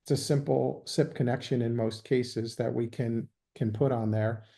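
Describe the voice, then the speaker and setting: A man with a dark, mellow voice talks through business topics at an even, measured pace. He fills his explanations with ums and uhs as he goes. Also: medium pitch, vocal fry. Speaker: guest, sales executive. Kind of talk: business interview.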